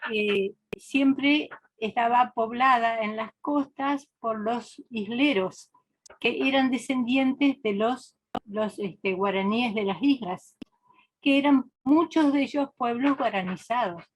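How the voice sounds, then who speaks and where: A woman's voice: fairly high pitch, takes it slowly, rough recording. A woman, speaking thoughtfully in a seminar discussion and often restarting her sentences. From Argentina. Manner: reflective, hesitant, with frequent restarts. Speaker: seminar participant.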